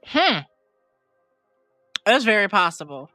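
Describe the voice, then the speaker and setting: A woman's voice: mid-range voice, sing-song, slow pace, bright, forceful tone, no echo, faint music behind. A woman co-hosting a gaming podcast in casual, slangy, emphatic chat, sounding like she has a slight head cold. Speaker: podcast co-host and game streamer.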